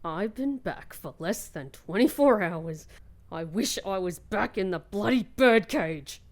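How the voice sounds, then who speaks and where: A woman's voice: medium pitch, animated, unhurried. A woman playing a hurt, bitter young woman home from captivity in an emotional audio drama. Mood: bitter, wounded. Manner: emotional, dramatic, British slang.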